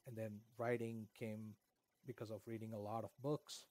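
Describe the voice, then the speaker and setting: A man's voice: fairly low pitch, level tone, moderate pace, a little mellow. A middle-aged man from India whose first language is Kannada, answering interview questions at length with many fillers and restarts. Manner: rambling, with frequent fillers and restarts. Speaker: author guest.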